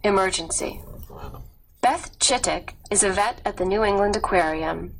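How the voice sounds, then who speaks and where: A woman narrating a scripted nonfiction story in a measured, clearly read delivery. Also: medium pitch, rough recording. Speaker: audiobook narrator.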